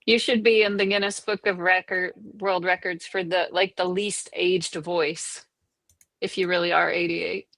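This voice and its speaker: A woman's voice: on the low side, level tone, unhurried, a bit bright, no echo. A woman chatting in an online group call, speaking thoughtfully and hedging with many fillers. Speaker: participant in an online group chat. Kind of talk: casual chat.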